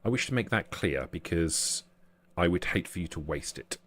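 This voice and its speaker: A man acting a formal, courteous vampire envoy of a prince in a tabletop roleplaying game, speaking in measured, officious phrases. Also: deep voice, animated, a bit bright. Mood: courteous, advisory.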